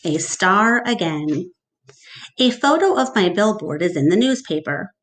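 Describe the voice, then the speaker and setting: A woman teacher reading a storybook aloud to her class in a deliberate, expressive way, addressing the children directly. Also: medium pitch, low-quality recording. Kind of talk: storybook read-aloud narration with questions to children.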